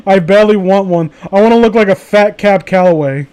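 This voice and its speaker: Very high-pitched, rambling man chatting. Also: fairly quick, darkish tone. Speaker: host of a live group stream. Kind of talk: casual chat.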